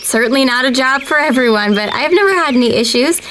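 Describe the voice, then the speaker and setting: An actress playing an earnest young female government video analyst who speaks with calm customer-service politeness. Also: high voice, quick pace, noisy background. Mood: polite. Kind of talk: audio drama acting.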